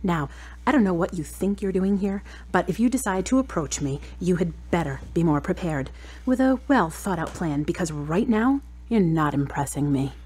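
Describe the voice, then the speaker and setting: A woman acting as a proud, wounded girlfriend in an audio drama. Her husky, slightly low voice is cool and pointed, with a cutting edge to the words. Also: lively intonation, moderate pace, darkish tone, poor audio. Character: proud, hurt girlfriend demanding a proper apology.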